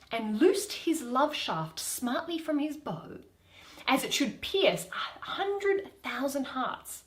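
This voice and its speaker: A woman voicing a commanding, scheming fairy king in deliberate, imperious Shakespearean verse. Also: high voice, reverberant, exaggerated voice.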